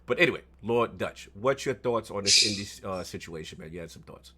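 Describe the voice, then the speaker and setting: A man's voice: on the low side, lively intonation, a little fast, bright, forceful tone. A man co-hosting a casual gaming podcast, laid-back and slangy, handing the floor to the other speakers. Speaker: podcast host.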